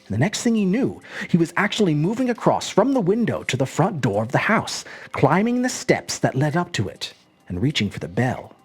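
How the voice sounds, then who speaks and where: An expressive storyteller reads scripted fiction in a husky voice. The pitch moves dramatically across a very wide range, with measured phrasing over faint music. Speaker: storyteller, host. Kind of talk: scripted narration of a short story.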